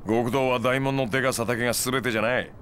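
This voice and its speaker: A man's voice: mid-range voice, fairly even intonation, fast, fairly bright tone, a little hiss or hum, natural voice. Actor as a smug, laid-back moneylender guy. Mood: casual, approving. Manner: glib, needling, casual lecturing. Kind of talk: video game cutscene acting.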